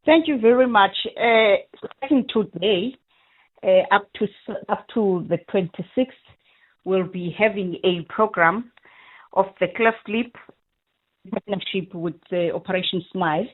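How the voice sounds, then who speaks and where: A woman with a South African accent gives a halting, formal radio interview as a government official over the phone. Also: mid-range voice. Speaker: provincial health official. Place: phone call. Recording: narrow phone line.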